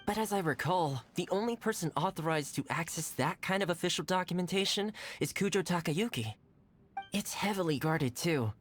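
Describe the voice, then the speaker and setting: A boyish young retainer from an anime game, as an actor plays the part. The voice is mid-pitched for the performer, upbeat yet wistful, casual and expressive. Faint music sits underneath. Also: normal speed, lightly acted. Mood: doubtful.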